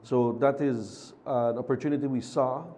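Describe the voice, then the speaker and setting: A man who is a city mayor, speaking as a TV studio guest in measured, formal terms. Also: mid-range voice, fairly even intonation, warm tone. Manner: measured, formal civic phrasing. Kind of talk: TV interview guest.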